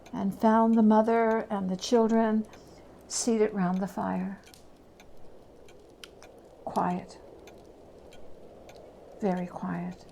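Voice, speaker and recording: A woman giving a measured, expressive dramatic reading of a classic story as a storyteller. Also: medium pitch, a little hiss or hum.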